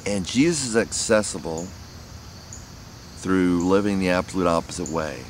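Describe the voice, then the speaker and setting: A man rambling casually outdoors about faith, speaking haltingly with many restarts. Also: deep voice, expressive, slight background noise. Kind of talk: casual spiritual musing. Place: outdoors near trees.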